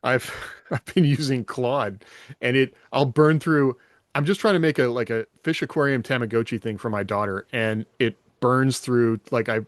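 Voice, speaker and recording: A man's voice: mid-range voice, normal speed, dry recording, clean studio sound. A man co-hosting a podcast, chatting casually about science fiction and musing as he thinks aloud. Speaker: podcast co-host.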